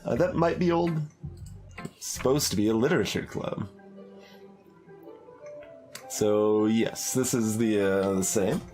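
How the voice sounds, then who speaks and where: A man's voice: lowish voice, a little slow, long pauses, a little mellow, soft background music. A man streaming a game, chatting casually and reading text aloud expressively.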